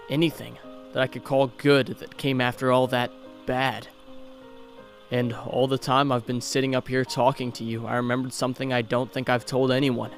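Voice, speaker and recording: An actor playing a tender, grieving young male superhero in a comic dub, speaking in an earnest, halting, reflective way. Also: mid-range voice, natural voice. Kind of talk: comic dub, dramatic monologue. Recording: music under the voice. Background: music.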